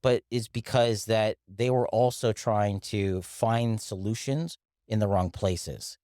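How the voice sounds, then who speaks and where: A middle-aged man from the Philippines talks as a guest about mindset and wellness. His voice sits low, and he speaks earnestly, slowing down to stress points. The recording is dry and close. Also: mellow, no echo. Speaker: interview guest, wellness coach. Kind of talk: reflective interview talk.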